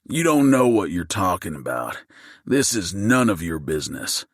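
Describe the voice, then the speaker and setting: A man voicing a gruff, low-voiced masked gunman who threatens a family, in an audiobook. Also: big pitch swings, normal speed, no room sound, studio quality. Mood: threatening.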